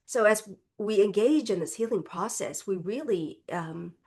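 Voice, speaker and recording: Congested woman giving a gentle spiritual talk. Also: medium pitch, animated, unhurried. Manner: gentle, reflective, with restarts and "right?" asides. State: congested, recovering from illness. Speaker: reverend and spiritual teacher. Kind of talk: contemplative Sunday talk.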